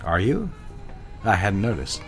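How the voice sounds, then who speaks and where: Man acting male ad executive boss, low-pitched, smooth, patronizing, self-assured, period audio drama, faint music bed. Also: big pitch swings, measured pace. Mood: courteous, probing. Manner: assured, teasing, condescending.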